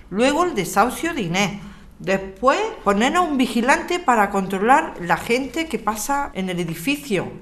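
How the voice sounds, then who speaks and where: Una actriz con acento castellano que interpreta a una abogada serena y comprometida, con habla pausada y didáctica, en una ficción sonora. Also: female voice, medium pitch, a bit bright, lightly acted. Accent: Castilian Spanish. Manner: measured, explanatory, articulate. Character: Alicia, composed, earnest lawyer rallying her neighbours. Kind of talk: scripted audio drama.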